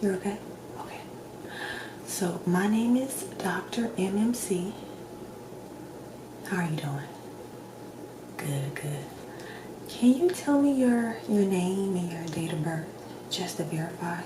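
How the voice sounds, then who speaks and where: A woman speaking softly and gently, often slipping into a whisper, in a measured ASMR roleplay as a doctor. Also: on the low side, expressive. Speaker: ASMR creator playing a doctor. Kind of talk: soft-spoken ASMR roleplay. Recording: roomy echo with clear background noise.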